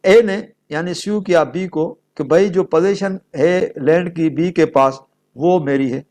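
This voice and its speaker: Pakistani guy teaching law, pretty high voice, slow and deliberate, keeps asking then answering his own questions. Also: a little mellow. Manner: didactic, switches between Urdu and English, poses questions and answers them. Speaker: law lecturer. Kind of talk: explanatory lecture with worked examples.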